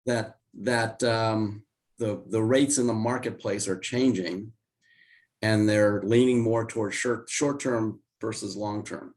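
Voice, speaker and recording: An older man with a hoarse, raspy voice while recovering from illness, explaining market charts as a guest on a video call. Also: fairly even intonation, darkish tone. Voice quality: raspy, deeper than usual. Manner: measured, explanatory, with restarts. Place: home office indoors.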